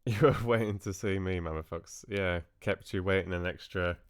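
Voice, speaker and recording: A man's voice: low-pitched, normal speed, smooth, dry recording, clean studio sound. A man hosting a livestream, chatting casually with viewers in a friendly, hesitant way with trailing asides. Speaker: livestream host. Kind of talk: casual chat with live viewers.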